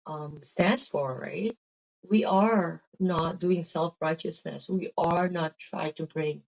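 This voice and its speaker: Tired filipino lady, middle-aged, low voice, wandering faith chat w/ lots of um and right?. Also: a little mellow, poor audio. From Philippines. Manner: meandering, full of "um" and "right?" tags. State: tired, drained of energy. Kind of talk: rambling casual faith talk.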